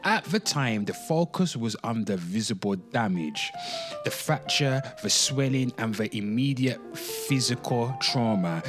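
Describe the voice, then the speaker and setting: A man hosting an online show, giving a dramatic reading with off-the-cuff commentary, drawn-out exclamations and asides to his listeners. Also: mid-range voice, lively intonation. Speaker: host of an online pop culture show. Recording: music playing under the voice. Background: music.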